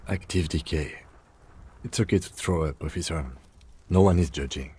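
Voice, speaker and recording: A man voicing a calm, soothing character in a role-playing video game, with a dark, husky voice. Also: deep voice, moderate pace, dry recording. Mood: calm, reassuring.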